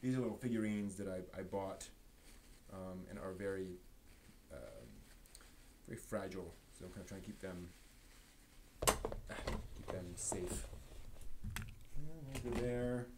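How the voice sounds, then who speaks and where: A man's voice: low voice, unhurried, long pauses. A man hosting a live stream, chatting casually and off the cuff in a rambling way that trails off. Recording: noisy room background.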